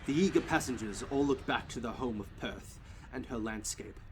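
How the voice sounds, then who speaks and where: Male narrator for audio drama, mid-range pitch, reading scripted scene description. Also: normal speed, slight background noise.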